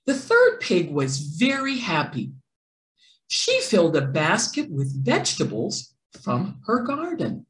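A middle-aged woman reading a children's story aloud, theatrical and deliberate, stressing key words. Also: lowish voice, wildly swooping pitch. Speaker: children's read-aloud presenter. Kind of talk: expressive picture-book narration. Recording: roomy indoor echo.

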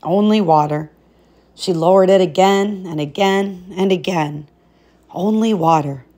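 A woman reading a fairy tale aloud as a storybook narrator, in an expressive style. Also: fairly low pitch, a little slow.

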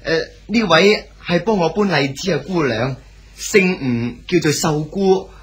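A man's voice: fairly high pitch, animated, measured pace. An actor playing an eager, bashful young man, a scholar's dutiful son, in a radio drama. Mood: eager, flustered. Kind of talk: Cantonese radio drama acting.

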